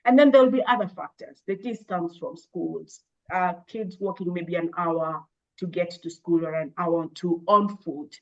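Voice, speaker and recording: A woman, an education expert, answering interview questions in a measured, thoughtful way with frequent hesitations and restarts. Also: on the low side, lo-fi sound. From Kenya. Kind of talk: explaining in an interview.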